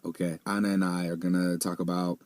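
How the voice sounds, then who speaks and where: A man's voice: low-pitched, flat delivery, fairly quick, a little mellow, no echo. A man in his thirties hosting a podcast in a casual, rambling way, laughing easily at his own asides. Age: 30s. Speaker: podcast host.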